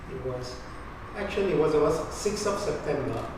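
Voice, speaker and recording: Echoey older man with an Iranian accent. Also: fairly high pitch. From Iran. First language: Persian. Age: 50s. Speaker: interviewee in a documentary. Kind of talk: reflective conversational recollection. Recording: distant mic, very echoey room, clear noise.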